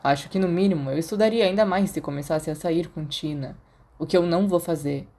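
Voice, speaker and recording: Studious teenage boy wizard read by a woman, Brazilian accent, low-leaning pitch, wry, reserved, audiobook character. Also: brisk, warm tone. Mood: dry.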